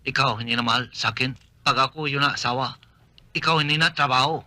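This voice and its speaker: Lovestruck noodle-shop owner, broken grammar, bright and dry. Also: male voice, mid-range voice, quick pace, dry recording. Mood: earnest. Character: smitten middle-aged suitor who owns a noodle shop.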